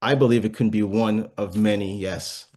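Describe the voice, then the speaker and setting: A man's voice: on the low side, fairly even intonation, moderate pace, darkish tone. A man as an interview guest, explaining patiently, with frequent fillers and restarts. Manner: explains patiently, many fillers and restarts, asks listeners if it makes sense. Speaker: guest investment speaker.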